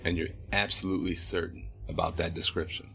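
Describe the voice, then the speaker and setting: An actor playing a firm, patient and weary male police detective in an audio drama, questioning in a measured way. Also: low-pitched, flat delivery, creaky, sharp tone, faint music behind, heavily acted. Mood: measured, solemn. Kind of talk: naturalistic audio drama acting.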